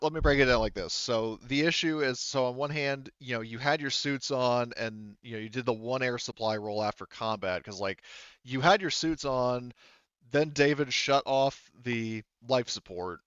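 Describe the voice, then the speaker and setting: A man's voice: medium pitch, moderate pace, a bit bright, clear, resonant tone, no room sound, dull audio. A man talking casually as game master of a tabletop game, informal and swearing freely. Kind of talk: casual tabletop game narration and rules talk.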